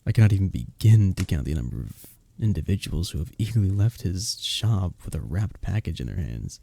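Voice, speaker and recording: A man playing a gentle, earnest village innkeeper in a medieval ASMR roleplay, speaking softly and intimately in formal, old-world phrasing. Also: low voice, sing-song, a little fast, vocal fry, husky, heavily acted. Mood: flattered, fond. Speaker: audio roleplay performer. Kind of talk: ASMR roleplay, intimate one-sided conversation.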